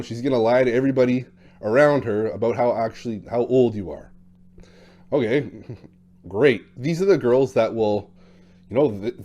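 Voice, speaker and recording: Dark-voiced blunt man talking dating. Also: medium pitch. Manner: talkative, blunt, acts out quotes in shifting voices. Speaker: dating coach. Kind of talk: casual monologue to camera.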